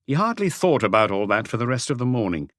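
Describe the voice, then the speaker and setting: A man's voice: mid-range voice, very animated, fast talker, a bit bright, dry recording, studio quality. A man narrating a mystery audiobook as a scripted reading.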